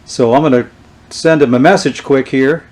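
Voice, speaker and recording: A man with a medium-pitched voice presents an informal product demonstration. He speaks without a script, in a halting and unhurried way, as someone showing items piece by piece. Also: a little mellow. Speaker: presenter showing vintage radio gear. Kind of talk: unscripted show-and-tell demonstration.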